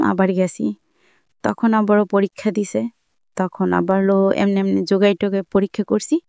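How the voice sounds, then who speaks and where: A woman's voice: mid-range voice, a little mellow, smooth, no echo, studio quality. A young woman with a colloquial Dhaka-area Bangladeshi Bengali accent tells her life story in a plain-spoken, repetitive, rambling way. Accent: Bangladeshi Bengali, colloquial Dhaka-area dialect. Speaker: guest telling own life story.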